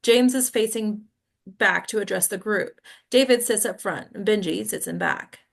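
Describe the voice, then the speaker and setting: A woman's voice: medium pitch, moderate pace, vocal fry. A woman narrating stage directions at a table read in a plain, informal way.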